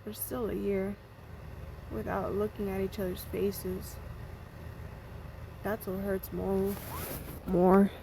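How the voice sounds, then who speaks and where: A young woman, sad and near tears, talking in a halting, repetitive way with sighs between thoughts in a personal video message. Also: mid-range voice, level tone, vocal fry, dark tone, a little hiss or hum, rough recording. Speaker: vlogger.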